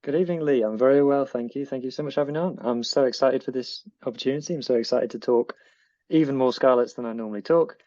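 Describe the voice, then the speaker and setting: A man's voice: mid-range voice, quick pace, warm tone, dry recording, lo-fi sound. A man with a Northwest English accent, co-presenting a podcast in an eager, rambling way. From northwest England. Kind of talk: casual podcast chat.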